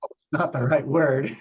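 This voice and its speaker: A man teaching meditation off the cuff, explaining ideas in a halting, reflective way as he talks with a group. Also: on the high side, husky, muffled recording. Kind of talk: unscripted spiritual teaching with group questions.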